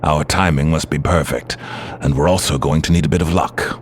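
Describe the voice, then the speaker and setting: Guy doing super deep serious leader voice, formal and measured, faint hiss behind. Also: fairly even intonation. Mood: grave. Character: grave older man, mutant leader. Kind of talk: fan audio drama acting.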